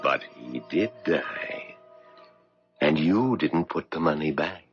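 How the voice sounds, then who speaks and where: An actor playing a mysterious, calmly insistent man who seems to know everything, in a probing, deliberate 1970s radio drama style. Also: a bass voice, full character voice. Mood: grave, persuasive. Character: mysterious all-knowing man offering second chances, calmly insistent. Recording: muffled vintage radio broadcast with music.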